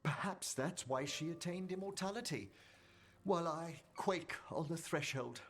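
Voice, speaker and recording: An actor playing a fretful, eloquent gentleman necromancer in a fantasy video game, husky-voiced, formal and dramatic. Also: fairly high pitch, moderate pace. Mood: anxious, wistful. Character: theatrical, fretful gentleman necromancer afraid of death.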